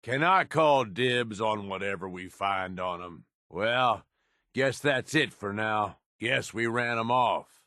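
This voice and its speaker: An actor playing a tough, cynical middle-aged raider henchman in a video game, with a gravelly voice and rough, folksy, sardonic slang. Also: lowish voice, slow, pushed and bright, dry recording, studio quality. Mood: relaxed, sardonic. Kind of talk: video game character voice lines.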